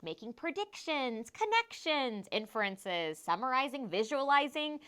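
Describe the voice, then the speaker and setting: A woman teacher hosting a scripted instructional segment, enunciating clearly and stressing key phrases. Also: high-pitched, sing-song, measured pace, bright, forceful tone. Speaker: teacher and host of classroom tips show.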